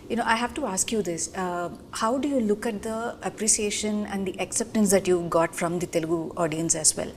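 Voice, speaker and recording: Brisk woman interviewer, quick speech. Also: mid-range voice, fairly even intonation, a little hiss or hum. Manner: brisk questions, frequent short acknowledgements. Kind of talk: interview questions.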